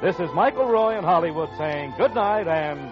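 A man's voice: high-pitched, lively intonation, moderate pace. A man announcing formally and emphatically in polished 1940s radio style. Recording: muffled 1940s radio broadcast. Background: music.

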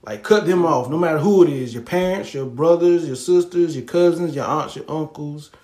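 A man on a casual podcast chat, giving earnest, loud advice. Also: a little high, normal speed, roomy sound.

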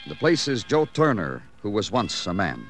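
An actor playing a patient, dogged, world-weary police detective who narrates a noir radio drama and questions people drily. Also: male voice, fairly low pitch, wildly swooping pitch, moderate pace, soft background music. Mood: brooding, poetic.

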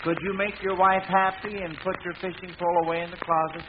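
A man's voice: high voice, level tone, fairly quick. A man hosting a 1940s radio quiz show, addressing contestants in a formal announcer's manner. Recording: noisy lo-fi vintage radio broadcast.

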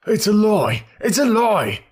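A man's voice: medium pitch, unhurried, creaky, fairly bright tone, husky, heavily acted. A man voicing a frightened male ex-servant accused of murder, who stammers and protests. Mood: protesting.

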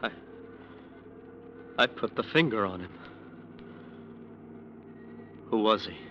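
An actor playing a defensive, uneasy lawyer, hesitant and self-justifying, in 1940s radio drama style. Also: male voice, on the low side, animated, moderate pace, pushed and bright, highs cut off. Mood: shaken. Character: defensive, uneasy male lawyer working for a gangster. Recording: noisy vintage radio recording.

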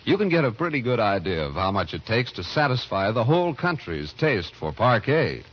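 A man's voice: lowish voice, big pitch swings, normal speed. A man working as a radio announcer, delivering scripted narration and advertising copy in a 1940s style. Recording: muffled vintage radio broadcast.

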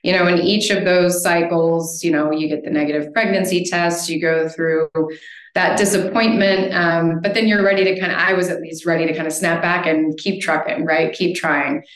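A woman's voice: fairly low pitch, fairly even intonation, moderate pace. Chatty American woman in a roomy space. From United States. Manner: chatty, often tags sentences with "right?". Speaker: podcast guest. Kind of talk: casual conversation. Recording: roomy echo.

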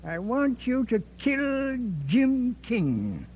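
A man's voice: very high-pitched, huge pitch swings, full character voice. An actor playing a smug, corrupt man of power in an old radio drama, insinuating and menacing, often ending on a questioning "eh?". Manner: slow, insinuating, punctuates with "eh?". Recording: muffled, noisy old radio recording with hum.

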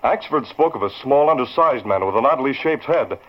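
An actor playing a grave, businesslike newspaper boss in a 1940s radio drama. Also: male voice, medium pitch, expressive, brisk, natural voice. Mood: serious. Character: serious, decisive male newspaper boss. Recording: dull vintage radio recording.

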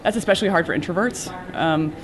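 A cybersecurity professional giving earnest advice in a conference interview, with many fillers and restarts. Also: male voice, fairly high pitch, quick pace, a little hiss or hum.

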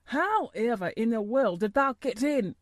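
A woman voicing an ignorant, tactless old working man in a hoarse, shaky voice for a storybook. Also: a little high, big pitch swings, normal speed, no echo, clean studio sound. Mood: indignant. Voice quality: hoarse, quavering.

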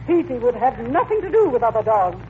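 An actress playing a pompous, affected, overwrought middle-aged society matron, in 1940s radio comedy style. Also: female voice, a little high, very animated, brisk. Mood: haughty, upset. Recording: tinny, slightly noisy old radio recording.

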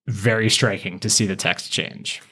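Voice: low-pitched, steady tone, moderate pace, sharp tone, breathy edge. Casual, playful podcast storyteller. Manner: informal, playful narration with dramatic asides. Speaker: podcast co-host. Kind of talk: casual episode recap storytelling.

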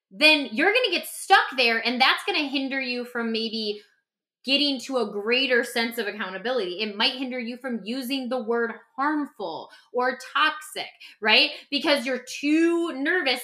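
A woman speaking emphatically and conversationally as a podcast guest, explaining self-help ideas in an animated way. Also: on the high side. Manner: emphatic, acts out example dialogue. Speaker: podcast guest and author discussing their own book.

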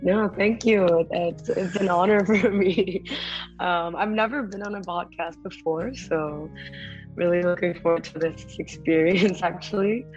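A young woman from Malaysia, a startup founder, explaining her work in a measured, businesslike way as a podcast guest. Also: on the low side, fairly even intonation, darkish tone, lo-fi sound, faint music behind. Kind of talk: casual podcast interview.

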